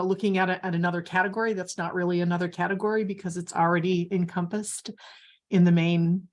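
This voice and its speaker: Slightly low-voiced woman on a video call, earnest, asks pointed questions, lots of you know. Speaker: family caregiver. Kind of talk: speaking up in an online meeting.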